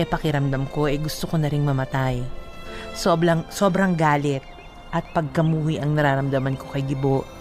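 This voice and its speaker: A woman's voice: low voice, a little mellow. A woman with a Filipino accent co-hosting a radio show, reading letters and giving advice in an emphatic way. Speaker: radio co-host. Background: background music.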